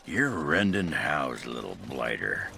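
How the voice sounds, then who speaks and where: A man playing a crude, reckless dwarf warrior in a video game, brash and gruff with a gravelly voice. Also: a bass voice, sing-song, slow, heavily acted. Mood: gruff bravado. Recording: music behind the voice.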